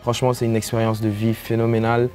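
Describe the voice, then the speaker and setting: Mellow young Senegalese man, guitar behind. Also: mid-range voice, completely flat delivery, quick pace. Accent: Senegalese French. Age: young adult. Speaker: international student. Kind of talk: informal interview testimonial. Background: guitar music.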